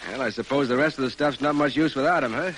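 An actor as a wry ship captain. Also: male voice, medium pitch, fast, a bit bright, natural voice. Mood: skeptical, wry. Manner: dry, plainspoken, wry. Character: wry, seasoned merchant ship captain, Yankee fan. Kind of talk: classic radio drama acting and narration. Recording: noisy old radio drama recording.

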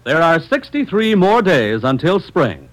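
An American man announcing a scripted vintage radio advertisement with emphatic, deliberate phrasing. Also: fairly high pitch, very animated, rough recording.

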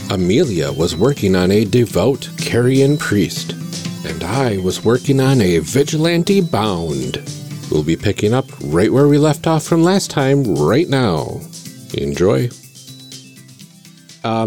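A man's voice: on the low side, darkish tone. A man co-hosting a podcast, making casual announcements and banter in a friendly, earnest way. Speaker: podcast co-host.

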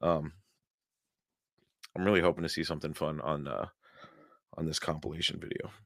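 Hoarse low-voiced male podcast host. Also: fairly even intonation, normal speed, no echo, clean studio sound. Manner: rambling, many fillers, chuckling. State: hoarse, losing his voice. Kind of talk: casual livestream chat.

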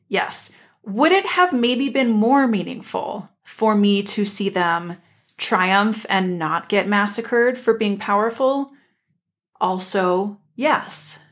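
Woman podcast cohost, mid pitch, earnest and emphatic, casual analysis chat. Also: measured pace. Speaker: podcast co-host.

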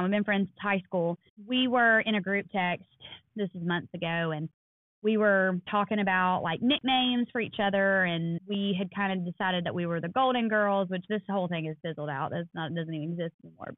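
A woman in her thirties co-hosting a podcast, telling stories in a quick, animated way. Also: medium pitch, dry recording. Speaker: podcast co-host. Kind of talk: casual chat. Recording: dry, phone-like lo-fi sound.

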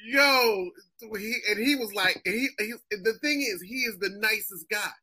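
East Coast man, guest on a chat show, very bright voice, lively storyteller who mimics others. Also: sits high, moderate pace, husky. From Springfield, Massachusetts.

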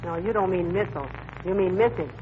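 An actress playing a patient, wry middle-aged housewife who gently scolds, in 1940s radio comedy style. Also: female voice, fairly low pitch, fast talker, close to an everyday voice. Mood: wry, correcting. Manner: dry, gently correcting. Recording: very noisy, dull old radio recording.

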